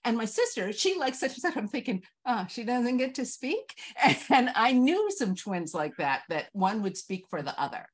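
A woman's voice: fairly high pitch, sing-song, normal speed, few pauses. A middle-aged woman talking casually as a panel host, recorded indoors over a video call. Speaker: festival host and panel moderator. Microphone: video call mic.

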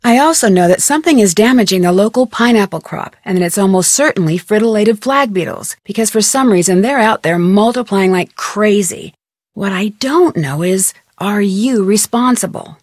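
A woman playing an inquisitive, polite and persistent young American amateur detective, speaking scripted adventure game dialogue in an earnest, expressive way. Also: mid-range voice, normal speed, no echo, studio quality, lightly acted. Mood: earnest, probing. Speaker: video game voice actress.

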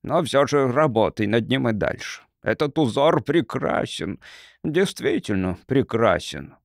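Audiobook casting: man as kindly old monk, slow, gentle, confiding delivery. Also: medium pitch, lively intonation, creaky, no echo, clean studio sound. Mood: regretful.

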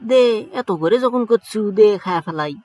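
A man's voice: sits high, expressive, moderate pace. A man with a Bogura accent voicing a busy, suspicious young male friend in a cartoon, who questions others impatiently. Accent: Bogura dialect of Bangla.

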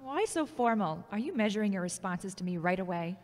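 Woman as ambitious radio journalist, teasing, warm, audio drama, hall reverb. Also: mid-range voice, lively intonation, fairly quick, natural voice.

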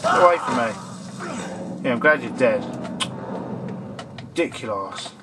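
A man's voice: mid-range voice, wildly swooping pitch, measured pace. Jumpy guy narrating a horror game. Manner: jokey, yelps and swears at enemies. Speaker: gameplay commentator. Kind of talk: horror game let's play commentary. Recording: distant mic with loud game noise. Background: loud game sound.

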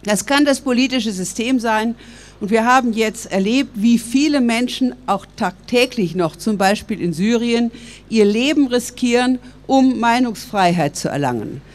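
A woman's voice: a little high, lively intonation. Older woman giving an earnest outdoor speech. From West Berlin, Germany. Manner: measured, earnest, argumentative. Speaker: public speaker. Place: outdoors by stone columns. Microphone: stand microphone.

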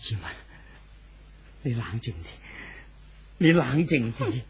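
A man acting an anxious, helpless husband in a 1970s radio drama, halting and imploring, in Hong Kong Cantonese. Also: medium pitch, husky. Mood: desperate pleading.

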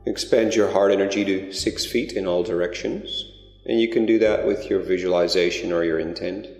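A man leading a guided meditation in a slow, gentle, drawn-out way. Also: deep voice, steady tone, creaky. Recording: roomy reverberant recording with soft music. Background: new-age music.

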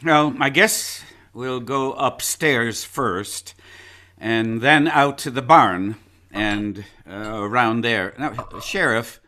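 An actor playing a brisk, patronizing male county attorney in a live radio play, recorded at home over a video call. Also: mid-range voice, pushed and bright. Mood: businesslike. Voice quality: patronizing, chuckling. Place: home closet or desk. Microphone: home mic over video call.

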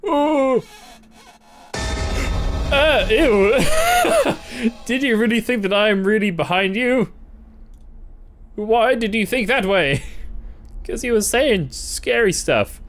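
A man's voice: very high-pitched, very animated, takes it slowly, long pauses, fairly bright tone, faint music behind. A young man doing casual, playful let's-play commentary, reacting and reading game text aloud. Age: young adult. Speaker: gaming video host.

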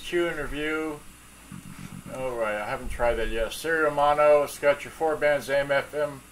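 A middle-aged man giving a casual, halting, off-the-cuff how-to commentary as he works at a bench, picked up by a camera mic. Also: mid-range voice, a bit bright. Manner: rambling, halting, talking as he works. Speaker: hobbyist repair video maker. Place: cluttered indoor workbench at home. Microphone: camera mic, off-screen speaker. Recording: clearly noisy home workshop recording.

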